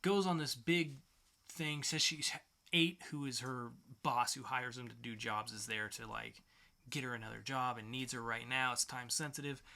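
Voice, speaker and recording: Bright-voiced man chatting enthusiastically. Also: mid-range voice, steady tone, moderate pace. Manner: enthusiastic, informal. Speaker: podcast co-host. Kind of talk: casual comic book review chat.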